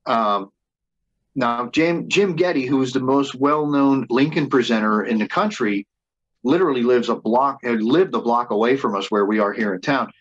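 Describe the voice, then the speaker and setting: A man's voice: medium pitch, normal speed, breathy edge, dull audio. A man who leads a band, telling stories in a casual interview and often restarting and repeating words as he goes. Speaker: band leader and reenactor being interviewed.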